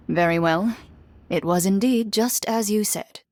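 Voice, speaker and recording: Actress as a composed, dry-witted officer. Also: female voice, mid-range voice, a little mellow, slight background noise, close to an everyday voice. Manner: measured, matter-of-fact, dry. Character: composed, businesslike female officer, dryly humorous. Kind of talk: video game voice acting.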